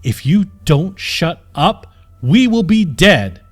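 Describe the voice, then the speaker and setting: A man voicing a gruff, weary retired knight in an audiobook story, curt, impatient and grudging in manner. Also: high voice, sing-song, takes it slowly, voice pushed up, exaggerated voice. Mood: snapping.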